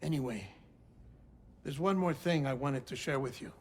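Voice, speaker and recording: An actor playing an earnest, gentle middle-aged scientist in a cinematic video game, speaking in a deliberate, formal, explanatory way. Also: male voice, medium pitch, expressive, slight background noise, close to an everyday voice. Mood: confiding. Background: music.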